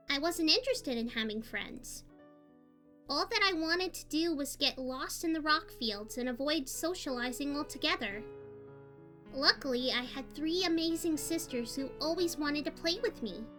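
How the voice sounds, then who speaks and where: A woman voicing a cheerful, hyper young female party pony in a fan fiction audio story, girlish and expressive. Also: unhurried, heavily acted. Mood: gentle, reflective. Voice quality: high-pitched, girlish. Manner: bubbly, expressive. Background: music.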